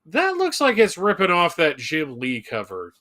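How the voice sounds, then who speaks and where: A man co-hosting a live fan show, reading a loosely scripted rundown with hesitant pauses and wry asides. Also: on the high side, huge pitch swings, pushed and bright. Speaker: show co-host presenting comics history segment.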